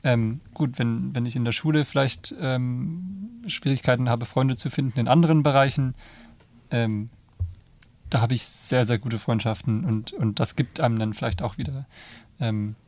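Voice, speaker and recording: A man's voice: mid-range voice, normal speed, a little mellow, no echo. A man in a casual discussion who sounds tentative and thinks aloud with many restarts. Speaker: discussion participant.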